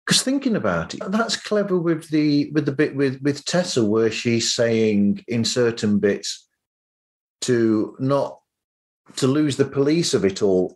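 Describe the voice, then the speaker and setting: Male podcast host, mid-range voice, chatty and a bit hesitant. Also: medium pitch. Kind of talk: casual interview chat.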